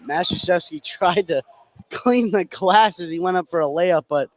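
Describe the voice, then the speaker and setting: A man's voice: high-pitched, normal speed. A man giving live color commentary and analysis at a basketball game in a gymnasium. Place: indoor gymnasium during a game. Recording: loud gym crowd behind. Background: game crowd.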